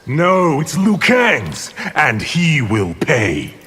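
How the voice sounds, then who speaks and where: An actor playing a scheming, power-hungry sorcerer villain in a fighting game, haughty, menacing and contemptuous in formal speech. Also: male voice, a little high, wildly swooping pitch, drawn-out words, over loud music, exaggerated voice. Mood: bitter menace.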